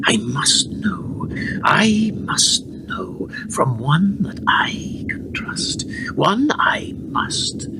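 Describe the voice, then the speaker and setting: A man playing a troubled, commanding medieval lord and father in a radio play, speaking solemn archaic English with a halting, theatrical delivery. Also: medium pitch, gravelly, full character voice. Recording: very noisy amateur radio play recording.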